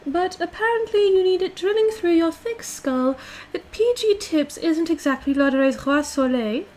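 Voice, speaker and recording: Woman acting a high-pitched, haughty British duchess. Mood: scornful, imperious. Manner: imperious, pompous, condescending, drawn-out emphasis. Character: haughty, bossy aristocratic dominant girlfriend calling herself Duchess. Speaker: livestreamer playing a character for viewers. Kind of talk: in-character roleplay livestream, reading and answering chat.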